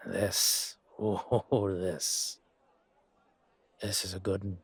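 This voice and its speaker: A man playing a weary, down-to-earth knight guardsman in a fantasy audio drama, musing colloquially to his partner with hesitant pauses. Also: low voice, flat delivery, breathy edge, dry recording, exaggerated voice. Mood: resigned, intrigued. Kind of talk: roleplay audio drama monologue addressed to a partner.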